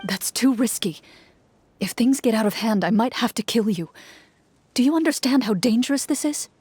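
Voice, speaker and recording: Solemn, composed fantasy game champion. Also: female voice, fairly high pitch, expressive, darkish tone, husky, no echo. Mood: stern concern. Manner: measured, solemn. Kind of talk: fantasy game narration and acting.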